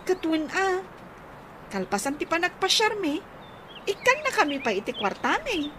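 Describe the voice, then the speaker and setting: A woman plays an eager young student daughter with an Ilocano accent in a radio comedy drama. Her voice is very high and lively in pitch. Birds and outdoor noise sit behind her. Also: moderate pace. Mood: earnest.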